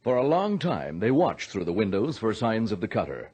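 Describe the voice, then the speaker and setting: A man's voice: on the low side, sing-song, normal speed, darkish tone, breathy edge. A man giving scripted narration for an old-fashioned radio adventure.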